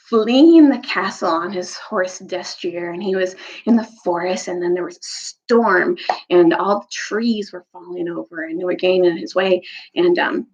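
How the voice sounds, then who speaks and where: A woman speaking as a teacher and storyteller in a casual, chatty way, halting and often restarting her phrases. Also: on the low side, creaky. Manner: halting, informal, self-interrupting. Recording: dull lo-fi recording.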